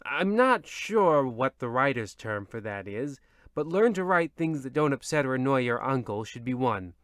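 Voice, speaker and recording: Man playing crisp, theatrical horror radio narrator. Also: mid-range voice, big pitch swings, a bit bright, no echo, studio quality. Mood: peevish, offended. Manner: ominous drawn-out delivery with peevish asides. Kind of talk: old-time radio horror narration parody.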